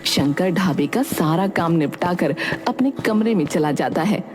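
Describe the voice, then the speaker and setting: Woman narrating a story, expressive intonation. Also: on the low side, a little slow. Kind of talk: scripted cartoon story narration.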